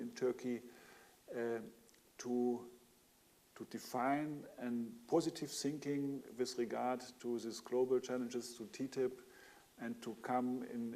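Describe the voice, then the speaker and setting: A man's voice: medium pitch, gravelly, warm tone. A man with a German accent speaking as a panelist, hesitant with many fillers and restarts. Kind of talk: panel discussion.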